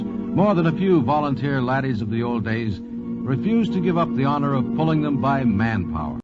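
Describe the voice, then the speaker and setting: A male narrator tells history in a dramatic 1940s radio style, with an average pitch that rises and falls widely. The recording is dull and old, with clear music behind.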